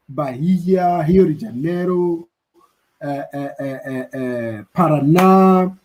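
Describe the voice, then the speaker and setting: A slightly high, husky-voiced man chatting casually as a livestream host. He talks in a relaxed, easygoing way, often repeating himself and checking that the listener follows. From Africa.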